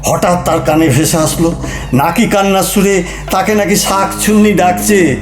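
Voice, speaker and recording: A man's voice: high-pitched, steady tone, normal speed, reverberant, soft background music, lightly acted. An actor playing a mocking, argumentative husband who needles his wife in a theatrical comic audio play. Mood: amused storytelling.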